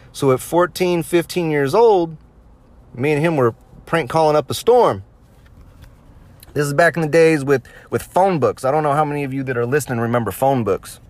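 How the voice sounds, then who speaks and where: A man around thirty hosting a podcast, telling anecdotes casually with crude swearing and amusement at his own stories. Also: medium pitch. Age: late 20s to early 30s. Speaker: podcast host. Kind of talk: casual anecdotal storytelling to listeners.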